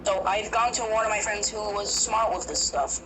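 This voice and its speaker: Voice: medium pitch, steady tone, normal speed. A narrator telling a first-person horror story, hesitant and prone to restarts. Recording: noisy recording.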